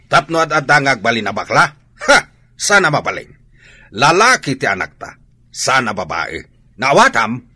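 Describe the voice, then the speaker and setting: A man's voice: medium pitch, very animated, normal speed, pauses often, pushed and bright, husky, exaggerated voice. A man with an Ilocano accent acting a harsh, short-tempered, scornful father in a radio drama. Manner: scolding, blustering.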